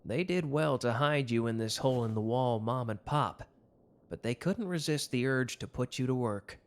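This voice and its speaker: A devoted mafia lover in a romantic audio drama, played by a man. His voice sits at a middle pitch. He is earnest and intimate, protective of his sweetheart, with a gruff, hot-tempered streak. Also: normal speed, lightly acted. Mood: tender, reflective.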